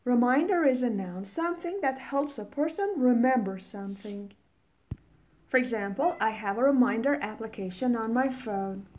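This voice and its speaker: A woman from Iran, a native Farsi speaker, teaching English vocabulary in a deliberate, explanatory way with definitions and examples. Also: a little high, expressive, a little mellow, a little hiss or hum.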